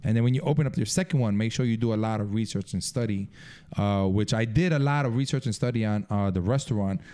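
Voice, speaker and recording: A man's voice: on the low side, fast talker, warm tone, smooth. A man who runs his own businesses, speaking as a podcast guest in casual chat, emphatic and peppering his talk with "man" and "dude". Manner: emphatic, says "man" and "dude" and "correct" often.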